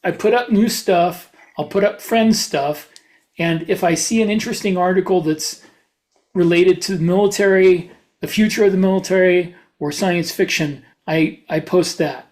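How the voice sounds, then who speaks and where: High-pitched man in casual chat. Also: moderate pace, darkish tone. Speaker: podcast co-host and author.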